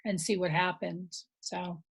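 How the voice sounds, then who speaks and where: A woman's voice: on the low side, steady tone, a little slow, creaky, husky. A middle-aged American woman chatting casually with colleagues in a work meeting on a video call. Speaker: school staff member leading teachers.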